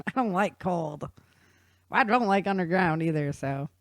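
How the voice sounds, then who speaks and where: A woman's voice: lowish voice, lively intonation, a little slow, no echo, clean studio sound. A woman hosting a podcast, explaining things in an informal, folksy and enthusiastic way as casual chat. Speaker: podcast host.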